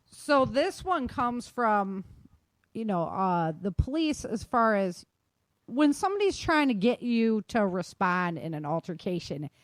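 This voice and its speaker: A woman's voice: medium pitch, very animated, measured pace, no echo. A woman hosting a podcast in casual chat, talking in an informal, slangy and self-deprecating way. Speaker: podcast host.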